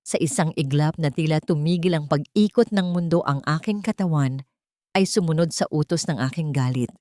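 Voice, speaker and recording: A woman's voice: low-pitched, normal speed, mellow, no echo, studio quality. A woman reading a dramatic first-person story as a scripted narrator.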